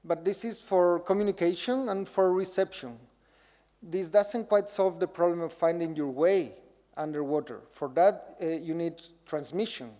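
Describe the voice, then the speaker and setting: A man's voice: high-pitched, moderate pace. A man giving an informal public lecture in a hall, talking directly to his audience and inviting them to take part. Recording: reverberant hall with loud background noise.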